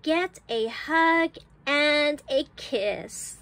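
Very slow, high-pitched woman teacher reading aloud. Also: expressive, a bit bright. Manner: deliberate, carefully enunciated word by word. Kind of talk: slow read-aloud of an early reader for children.

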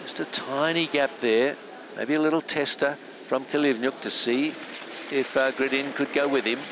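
Male race commentator, mid-pitched, steady measured delivery, noisy outdoor broadcast feed. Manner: measured, steady play-by-play with filler pauses. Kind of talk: live sports commentary.

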